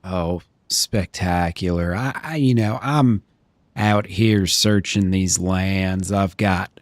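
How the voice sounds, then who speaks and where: A man playing an 82-year-old woman who has smoked since age twelve, with a deep, smoky voice and a stammering, prim politeness. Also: slow, dry recording. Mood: prim, earnest. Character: woman of 82, chain-smoker, eager to remarry. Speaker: streamer playing a character. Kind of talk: roleplay.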